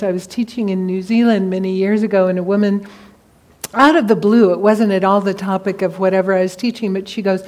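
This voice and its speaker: Casual woman Buddhist teacher on a panel. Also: mid-range voice. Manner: casual, animated storytelling. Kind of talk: panel discussion answer.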